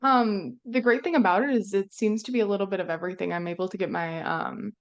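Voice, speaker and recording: A woman's voice: mid-range voice, quick pace, lo-fi sound. A young woman from central Minnesota, a broadcast engineer answering interview questions in a friendly way with frequent ums. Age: young adult. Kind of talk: conversational interview.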